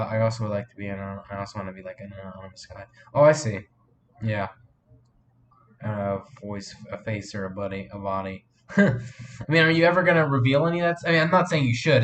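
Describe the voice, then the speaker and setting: A man's voice: fairly low pitch, fairly quick, slight background noise. A sniffly man hosting a casual live stream, rambling with lots of fillers and restarts as he answers viewer chat. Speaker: live streamer and fan film maker.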